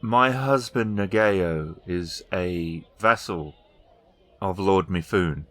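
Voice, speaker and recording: Man playing a slow, formal widowed noblewoman, very low-voiced. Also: a bit bright. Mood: cool, formal. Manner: formal, measured, clipped. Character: formal, terse widowed noblewoman requesting her husband's swords.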